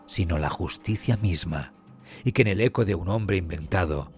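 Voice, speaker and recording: A man reading scripted documentary narration in a solemn, measured, dramatic way with weighty pauses. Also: low-pitched. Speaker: true crime podcast narrator. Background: background music.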